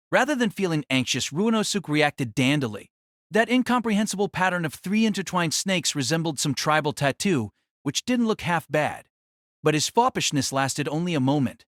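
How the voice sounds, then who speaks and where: A man's voice: a little high, a bit bright, dry recording, clean studio sound. A man narrating a scripted story in a dramatic audiobook style.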